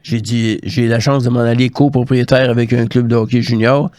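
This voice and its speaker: An older man with a fairly ordinary pitch and a Quebec French accent, recorded dry with very little room sound. He tells stories casually as a guest, slipping into quoted back-and-forth in colloquial speech. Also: level tone, normal speed, a little mellow, dry recording. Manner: colloquial, re-enacts conversations with quoted dialogue. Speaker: guest, former hockey scout. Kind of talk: casual anecdotal storytelling in an interview.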